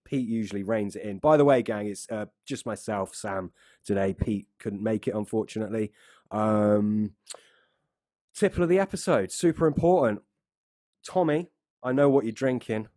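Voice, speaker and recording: A man's voice: on the low side, normal speed, mellow, dry recording, studio quality. A British man hosting a casual interview in a matey, informal way. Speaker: podcast host.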